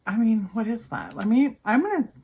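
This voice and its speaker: A woman's voice: a little high, animated, normal speed, breathy edge, muffled recording. A young woman with a cold and a failing voice, chatting casually to her viewers about her budget, worn out and talking to them as you guys. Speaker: budgeting vlogger. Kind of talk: casual chat to camera.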